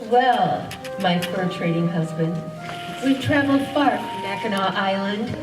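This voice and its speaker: A woman's voice: mid-range voice, unhurried, natural voice. A woman playing a devoted, practical frontier wife in an amateur stage radio play, declaiming scripted lines. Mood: resolute. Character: devoted, practical 1800s French Ottawa wife. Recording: echoey stage, distant mic, music behind.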